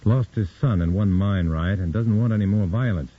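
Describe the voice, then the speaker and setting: An actor playing a tense undercover agent in 1950s radio drama style, clipped and guarded, on an old radio recording. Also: male voice, on the low side, moderate pace, slightly rough, dull audio. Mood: tense, urgent. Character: tense undercover agent posing as a party man.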